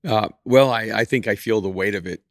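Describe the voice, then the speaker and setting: A man in his sixties, an author talking on a podcast, speaking in a measured, reflective way. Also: fairly low pitch, dry recording, clean studio sound. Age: 60s. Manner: measured, reflective, laughs easily. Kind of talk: casual podcast chat.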